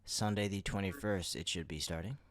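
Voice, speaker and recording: A man's voice: low voice, fairly quick, no room sound. A man co-hosting a podcast in informal, playful banter, speaking close to the microphone. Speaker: podcast co-host.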